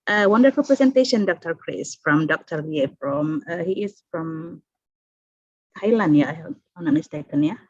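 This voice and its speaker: A woman's voice: low voice, expressive, normal speed, husky, dry recording. A woman from Indonesia moderating an online conference, hesitant, with many fillers and restarts. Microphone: video call. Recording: dull video call audio.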